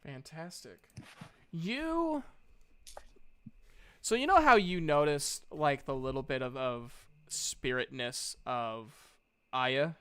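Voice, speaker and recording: Smooth-voiced male game master. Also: on the high side, animated, takes it slowly, fairly bright tone, no room sound. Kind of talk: casual tabletop game narration.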